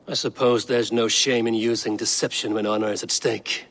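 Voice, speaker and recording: A man's voice: fairly low pitch, flat delivery, normal speed. A man playing a proud, blunt Viking warrior in a video game, with a terse, wry and defiant way of talking. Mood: wry, resolute. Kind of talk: video game dramatic acting.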